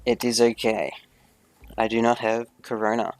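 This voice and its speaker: A man's voice: mid-range voice, completely flat delivery, normal speed, soft background music. A man hosting a gaming video, giving gameplay commentary in careful, formal phrasing with few contractions.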